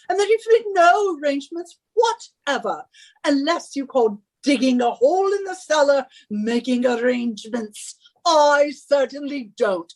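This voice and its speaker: A woman's voice: sits high, a little mellow, poor audio. A woman playing a bossy, scornful wife who nags and scolds in a suspense radio play. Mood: indignant, scornful. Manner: imperious, scolding, henpecking. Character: bossy, nagging British wife of a professor, scornful.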